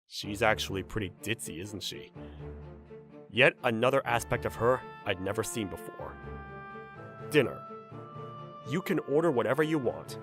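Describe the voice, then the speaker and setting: A man playing a level-headed, gently teasing young man in a manga dub, narrating and talking in a dry, blunt manner. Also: fairly low pitch, expressive, moderate pace, long pauses, over music, lightly acted. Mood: nervous, wry.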